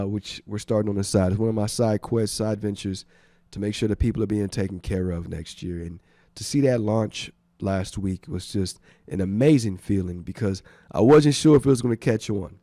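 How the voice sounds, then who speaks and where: A man's voice: fairly low pitch, normal speed, mellow, no echo. A congested man hosting a radio show, giving enthusiastic, rambling thank-yous in casual chat. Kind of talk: casual radio shout-outs. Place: radio studio.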